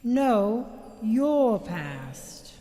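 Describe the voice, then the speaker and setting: A woman playing a calm, solemn, gentle spirit in a radio drama, speaking in measured phrases. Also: on the high side, heavily acted.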